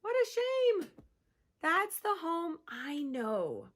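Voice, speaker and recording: A woman reading aloud, voicing a friendly mother sled dog who barks and speaks in slow, kindly rhyme. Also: very high voice, wildly swooping pitch, fairly bright tone, voice pushed up, exaggerated voice.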